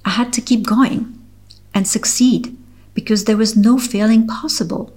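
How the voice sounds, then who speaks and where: A middle-aged woman with a French accent, a French native speaker from Switzerland, reading her own personal essay aloud in a slow, measured, earnest way. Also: on the high side, steady tone, darkish tone. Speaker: author reading her article.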